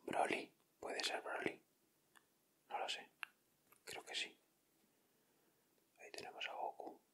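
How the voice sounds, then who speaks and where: A man with an accent from Spain whispering softly in casual ASMR commentary, murmuring short, unhurried remarks close to the microphone. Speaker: ASMR video host. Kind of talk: whispered ASMR, casual commentary while opening sticker packs.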